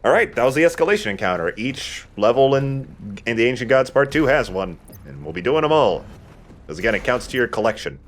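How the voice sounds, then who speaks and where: A man's voice: medium pitch, sing-song, brisk. A man giving casual commentary over a video game as he plays, joking, swearing and thinking aloud. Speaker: video game let's player. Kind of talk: casual gameplay commentary with friends.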